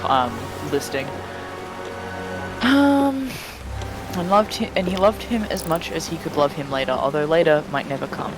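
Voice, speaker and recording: A man reading a story aloud dramatically on a live voice chat, breaking off to swear and chat casually with friends. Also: on the high side. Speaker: fan fiction reader on a live stream. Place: live-streamed group voice chat. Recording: noisy voice chat, steady background noise.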